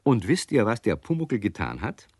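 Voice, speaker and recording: An actor playing a lively storyteller, narrating a scripted children's radio play to young listeners. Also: male voice, fairly low pitch, moderate pace, breathy edge, no room sound, studio quality, full character voice. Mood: amused storytelling.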